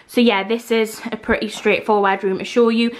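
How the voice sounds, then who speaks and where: Young Geordie woman, slightly high voice. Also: flat delivery, moderate pace, dry recording. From Newcastle, North East England. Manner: chatty and informal. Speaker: vlogger. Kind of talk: casual house tour narration. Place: walking through a house and garden. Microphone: handheld camera, held by speaker.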